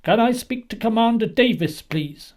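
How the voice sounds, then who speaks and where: Woman acting a confident police superintendent, mellow and formal. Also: a little high, animated, voice pushed up. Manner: formal, authoritative, deliberate. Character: brisk, confident male police superintendent on telephone.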